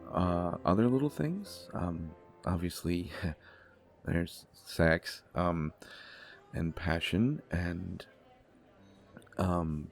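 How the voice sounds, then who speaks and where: A man playing a shy, gentle young god of desire in an intimate audio date roleplay, speaking politely with many hesitations and stammers. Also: sits low.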